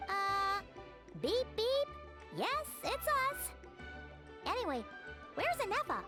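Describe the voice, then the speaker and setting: Tiny squeaky bubbly flying fairy. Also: female voice, very animated, slow pace, over music, heavily acted. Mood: chirpy. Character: small, bubbly, childlike flying fairy girl companion. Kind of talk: video game voice acting.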